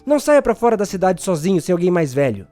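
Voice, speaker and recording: Guy playing a kind brave aztec hero, highish voice that swings a lot, audio drama w music behind. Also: very animated, fast, dark tone. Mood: kindly, cautioning. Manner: earnest, dramatic delivery. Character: kind, brave, self-sacrificing Aztec village hero, a grown man.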